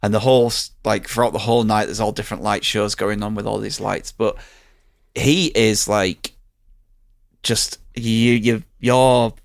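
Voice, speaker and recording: A man with a fairly low voice chats casually as a podcast host. He is enthusiastic and sweary, and the sound is close and dry. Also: flat delivery, measured pace, vocal fry, no echo. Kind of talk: casual chat, reviewing a live show.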